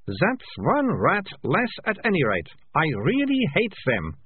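A man voicing a sharp-tongued, bossy young girl who speaks with scorn. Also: high-pitched, huge pitch swings, a little slow, slight background noise, pitched above the performer's own voice, full character voice. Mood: scornful. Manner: scornful, indignant. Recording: tinny, dry, lo-fi narration.

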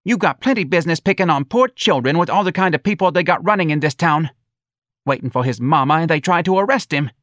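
A man's voice: a little high, lively intonation, fast talker, fairly bright tone, no room sound, clean studio sound, voice pushed up. A man voicing a protective, indignant older working-class mother who scolds and pleads in colloquial speech.

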